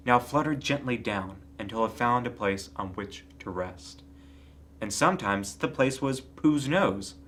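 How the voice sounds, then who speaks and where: A man reading a children's story aloud as an expressive scripted narrator. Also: medium pitch. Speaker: storybook reader.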